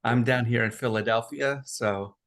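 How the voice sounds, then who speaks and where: A man's voice: mid-range voice, moderate pace, a bit bright. A man hosting a remote interview, asking long questions with hesitant restarts. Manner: hesitant, frequent fillers and restarts. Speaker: interview host.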